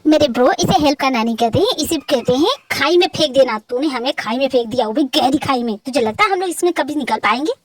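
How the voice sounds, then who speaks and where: A woman voicing a sharp-tongued young man who scolds and mocks his friend. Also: sits high, animated, quick pace, few pauses, background noise, pitched below the performer's own voice. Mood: mocking. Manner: scolding, mocking banter.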